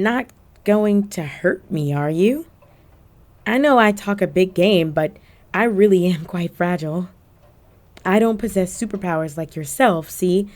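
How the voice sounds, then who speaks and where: Casting: actress as sly female cat burglar for audio roleplay; flirty, coy, unhurried delivery with very lively intonation. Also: fairly low pitch. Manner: coy, teasing, drawn-out phrasing with terms of endearment. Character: flirty, cunning female cat burglar villain, teasing and theatrical. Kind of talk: roleplay audio drama addressed to the listener.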